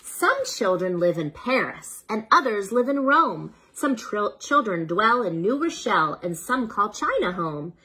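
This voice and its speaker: A woman reading a children's bedtime story aloud in an unhurried, expressive way, as if speaking to a child, with a slightly husky voice. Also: medium pitch, low-quality recording.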